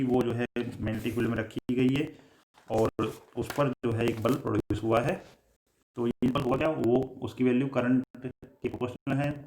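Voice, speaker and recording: A man with an Indian accent giving a technical lecture, explaining in a halting, repetitive way and mixing in English terms. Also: mid-range voice, darkish tone, slight background noise. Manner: halting, repetitive explaining with frequent filler phrases. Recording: echoey room.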